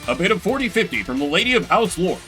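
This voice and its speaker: Formal, showy game auctioneer, played by a man, raised bright voice, crisp announcing. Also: high voice, very animated, very fast, soft background music, full character voice. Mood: brisk, rising excitement.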